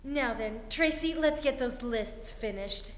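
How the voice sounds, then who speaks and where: Woman playing a high-voiced, genteel society mother. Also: measured pace. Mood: patient, firm. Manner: proper, mildly scolding. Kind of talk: staged 1940s-style radio comedy acting. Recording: echoey, faintly noisy theatre hall recording.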